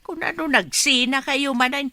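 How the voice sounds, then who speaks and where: A meddlesome middle-aged mother in a radio drama, her high voice sharp and close with no room sound. She has a Filipino accent and nags in exclamations. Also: fairly even intonation, normal speed, no echo. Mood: nagging. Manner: exclamatory, scolding. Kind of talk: Ilocano radio drama acting.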